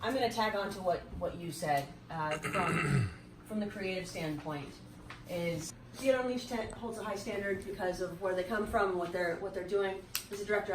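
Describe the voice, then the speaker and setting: A woman speaking informally to a cast as their director. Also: fairly low pitch. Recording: echoey room, distant mic, clear background noise.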